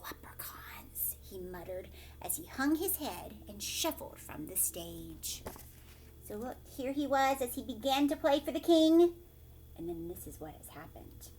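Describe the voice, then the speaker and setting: Woman reading kids a story, super animated, asks questions, takes her time, bit of noise in background. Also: a little high, a little slow. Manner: animated, dramatic, questioning, drops to hushed asides. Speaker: teacher reading aloud to young children. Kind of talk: expressive storybook read-aloud with asides.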